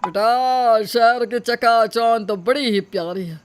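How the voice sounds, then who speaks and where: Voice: medium pitch, slow, music in the background, natural voice. A performer voicing a pious, overbearing elderly village uncle in an audio moral story, with rustic, scolding phrasing. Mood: entitled.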